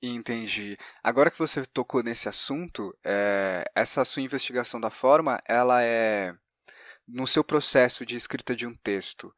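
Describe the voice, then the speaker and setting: A man's voice: mid-range voice, fairly bright tone, no echo, clean studio sound. A man with a Brazilian Portuguese accent, interviewing as a podcast host in a conversational, inquiring way with hesitant phrasing.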